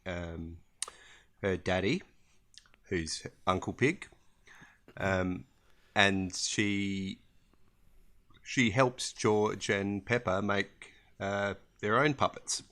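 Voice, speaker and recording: An Australian man co-hosting a podcast in relaxed, halting chat, full of ums and restarts. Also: on the low side. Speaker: podcast co-host.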